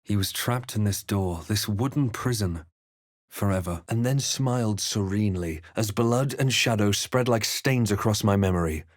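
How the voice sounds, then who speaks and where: An actor playing a brooding male adventurer in a fantasy game, narrating his quest in a measured, theatrical, grave manner. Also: lowish voice, no room sound, studio quality, natural voice. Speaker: player character in fantasy role-playing game. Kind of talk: dramatic scripted game voice lines.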